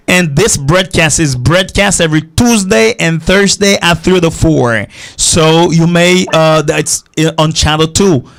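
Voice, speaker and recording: A man's voice: on the high side, gravelly, fairly bright tone. A man from Haiti with an American-sounding accent, teaching English as host of a live call-in show, repeating himself and checking understanding with tag questions.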